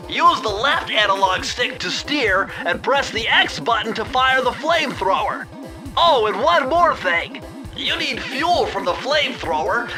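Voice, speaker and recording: Casting: anxious brainy young turtle sidekick. Extremely high, nasal, fussy and precise, video game cartoon over a music bed. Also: male voice, poor audio. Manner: fussy, precise, techy explaining.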